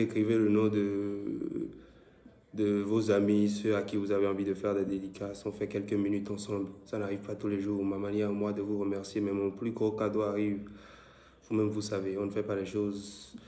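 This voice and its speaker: Male live-stream host, West African French accent, stuffy with a cold, flat delivery, echoey room. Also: on the low side. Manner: loud, repetitive greetings and shout-outs to viewers. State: congested with a cold. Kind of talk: casual live-stream shout-outs.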